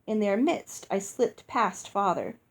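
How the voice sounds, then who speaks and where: A woman reading a storybook aloud as narrator, in an expressive scripted style. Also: mid-range voice, slow. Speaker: audiobook reader.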